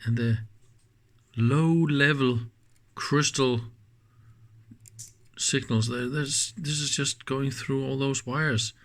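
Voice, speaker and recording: A man giving casual, unscripted hands-on commentary as a teardown host, musing in a halting way and trailing off mid-thought. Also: medium pitch, fairly even intonation, a bit bright. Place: indoors at a workbench.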